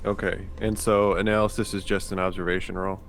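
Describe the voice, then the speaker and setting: A man's voice: deep voice, flat delivery, a little fast, creaky, slight background noise. A man playing a tabletop roleplaying game, talking casually and hesitantly as he thinks aloud.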